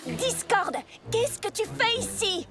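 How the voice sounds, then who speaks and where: Bookish young cartoon pony princess, high earnest voice, music in background. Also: female voice, big pitch swings, slow, full character voice. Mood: startled. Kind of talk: French cartoon dub acting.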